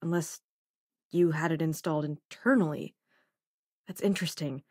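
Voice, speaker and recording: An actress voicing a cynical, dry-witted spacefaring hacker in a video game, with a sardonic, conversational manner. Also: female voice, low voice, normal speed, a little mellow, dry recording. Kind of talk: video game companion voice lines.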